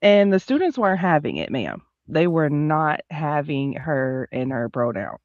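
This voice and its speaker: A woman's voice: deep voice, sing-song, a little slow, a little mellow, no room sound. Woman podcast host, casual chat, introduces topics, dull lo-fi audio, dry. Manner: chatty, lays out topics.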